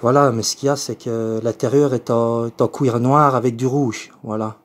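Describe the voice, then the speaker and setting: A man's voice: medium pitch, steady tone, normal speed, natural voice. A man voicing a confused, polite eighty-year-old woman with a Belgian French accent, hesitant and repetitive, as in a prank phone call. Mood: insistent, chatty.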